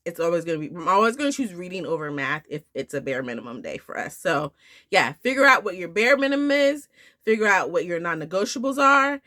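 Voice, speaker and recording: A woman's voice: lowish voice, very animated, fairly quick, bright, forceful tone. A woman vlogging casually about homeschooling, a bit nasal as she recovers from the flu, rambling with fillers and restarts. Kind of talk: casual talk to camera.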